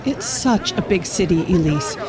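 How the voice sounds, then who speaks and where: A woman's voice: lowish voice, expressive, moderate pace, crowd noise, natural voice. An actress playing an anxious, protective middle-aged mother in an audio drama, speaking in a fretful, tender way. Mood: worried, tender.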